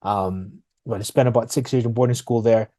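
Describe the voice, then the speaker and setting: A man with a Fijian accent talks as a guest in a casual, informal storytelling way. His voice is fairly low and quite dark and relaxed. The sound is very dry, with no room echo. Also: a little fast. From Fiji. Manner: informal, often says "man" and "you know". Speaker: interview guest.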